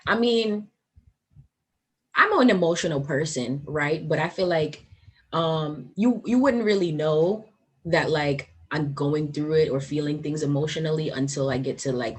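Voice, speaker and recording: A woman's voice: deep voice, normal speed, slightly rough. A woman in her twenties hosting a podcast in casual, upbeat chat, full of "like" and "um". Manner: chatty, upbeat, lots of "like" and "um". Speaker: podcast host.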